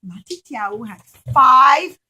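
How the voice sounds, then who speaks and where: A woman with a high, bright voice plays storyteller to little children. She speaks in simple, sing-song phrases and leans on key words for drama. It sounds like a teacher leading a puppet show. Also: animated, slow pace, husky, lo-fi sound.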